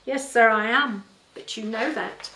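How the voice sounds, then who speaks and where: A teenage farmhand from a storybook, read by a woman. He speaks civilly and evenly, staying guarded and calm. The voice sits in an echoey room. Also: a little high, a little slow, slight background noise. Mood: firm, civil. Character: polite, guarded teenage boy, a new farmhand.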